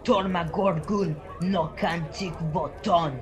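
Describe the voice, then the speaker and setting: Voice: medium pitch, fairly even intonation, slow pace, music in the background, natural voice. A young performer playing a proud, eager dwarf adventurer in a radio-style fantasy serial, with grandiose, formal speech. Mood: courtly. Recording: video-call recording with background voices.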